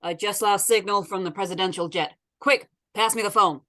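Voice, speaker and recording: A woman playing an alarmed, brisk flight controller with an urgent official delivery in a script read. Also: medium pitch, bright, forceful tone, natural voice. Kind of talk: screenplay table read acting.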